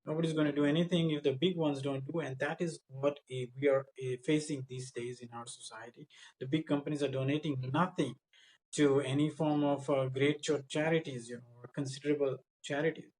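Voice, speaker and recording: A man's voice: on the high side, steady tone, fairly quick, darkish tone, low-quality recording. A man working as a spoken English tutor, coaching in a mock speaking test with a blunt, insistent, corrective manner.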